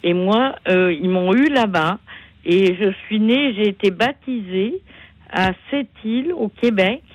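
A woman in her sixties phoning in to a radio show, talking haltingly with many fillers and pauses. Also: mid-range voice. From born in Canada, raised partly in Africa. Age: 60s. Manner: hesitant, halting, many fillers, slow and sorrowful. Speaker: caller. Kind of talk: phone call to a radio show. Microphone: telephone.

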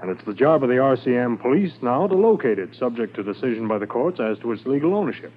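An actor playing a brisk, shrewd police corporal in a businesslike, confident 1950s radio drama style. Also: male voice, medium pitch, very animated, lightly acted. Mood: firm, courteous. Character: brisk, shrewd male Mountie corporal on an investigation. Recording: noisy, narrow-band 1950s radio transcription.

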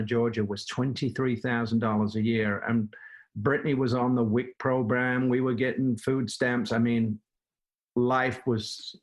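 Older manchester guy rambling through his story, um and uh a lot, mid pitch. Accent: Manchester English. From England. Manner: rambling, with many fillers and restarts. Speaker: guest, former plumbing business owner. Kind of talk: casual interview storytelling.

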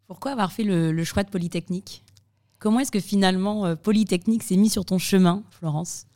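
A woman's voice: medium pitch, fairly quick, warm tone. A woman hosting a podcast, asking conversational questions in an informal, familiar way. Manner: informal, addresses guest familiarly. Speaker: podcast interviewer, host.

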